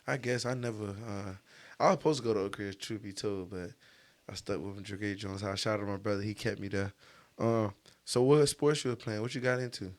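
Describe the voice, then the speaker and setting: This is a man with a fairly low voice, hosting a podcast. He talks in loose, slangy conversation and likes to tease and joke as he puts his questions. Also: steady tone, fairly quick. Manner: joking, teasing, slangy. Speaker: podcast host. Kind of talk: casual conversational interview.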